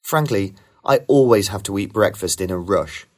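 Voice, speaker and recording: A man reading rehearsed model answers for a speaking test in a smooth, even way. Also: lowish voice, normal speed. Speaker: sample test candidate.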